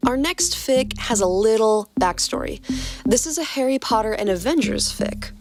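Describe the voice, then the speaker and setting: A woman's voice: a little high, animated, normal speed, faint music behind. A woman podcast co-host who reads fan fiction aloud and trades playful banter with her partner.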